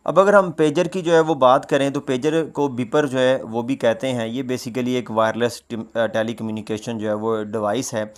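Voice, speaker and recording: A man presenting informal, explanatory news commentary, often pausing mid-sentence and leaning on repeated filler phrases. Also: medium pitch, level tone. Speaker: presenter of a world news roundup.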